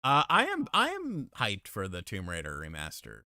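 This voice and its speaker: A man with a bright, smooth voice hosts a casual gaming chat. He reads viewer messages and tells lively, swearing-heavy stories about arcades. The close mic leaves his voice dry and clean. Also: lowish voice, normal speed, no echo. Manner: profane, animated storyteller. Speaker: podcast host reading super chats.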